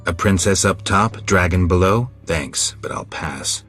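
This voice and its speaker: A man playing a gruff, terse monster hunter in a video game, with dry, laconic delivery. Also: low-pitched, measured pace, exaggerated voice. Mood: dry, wry. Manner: deadpan, laconic. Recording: music behind.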